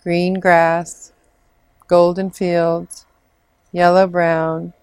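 A woman leading a guided imagery meditation in a soothing, measured manner with long pauses. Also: on the low side, flat delivery, a little mellow, smooth, no room sound. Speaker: meditation guide, clinical social worker.

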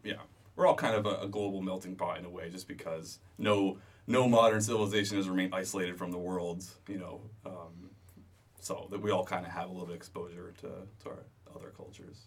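Man, very deep voice, flat, thoughtful, slightly distant. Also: fairly quick, husky. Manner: explains thoughtfully, with fillers. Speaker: guest. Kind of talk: casual interview chat.

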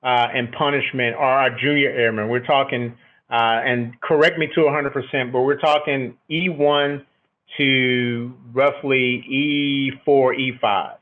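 A middle-aged man with a booming voice, an officer answering interview questions reflectively and often checking in with "right?". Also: fairly even intonation, measured pace. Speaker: military officer, podcast guest. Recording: thin, phone-like band-limited line.

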